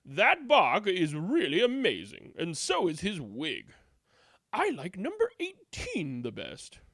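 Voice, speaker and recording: A reader voicing tired, dreamy villagers, gushing with drawn-out sighs. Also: mid-range voice, huge pitch swings, a bit bright, no echo, clean studio sound, heavily acted. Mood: dreamy delight.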